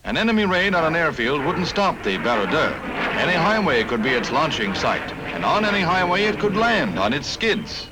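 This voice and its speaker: A man's voice: fairly high pitch, very animated, moderate pace, noisy background. An American man reading scripted 1950s newsreel narration in a dramatic, punchy announcer style on a vintage soundtrack. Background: music.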